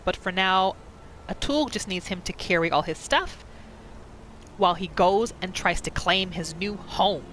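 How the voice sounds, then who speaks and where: A woman gaming host narrating a roleplay playthrough in a casual, expressive, playful storytelling style. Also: medium pitch.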